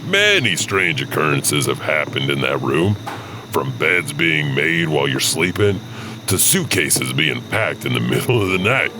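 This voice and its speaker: A man's voice: rumbling low, sing-song, measured pace, background noise, heavily acted. A man playing a folksy, rambling janitor who hesitantly hosts a spooky anthology of tales. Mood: sheepish, welcoming. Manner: chatty, digressive, hesitant. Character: rambling, folksy janitor storyteller at old hotel. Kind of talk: hosted anthology narration.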